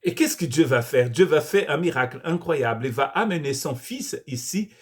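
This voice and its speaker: A man's voice: a little high, sing-song, normal speed. Brazilian-born man preaching a sermon. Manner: earnest preaching with frequent restarts and repeated words. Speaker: pastor. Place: church.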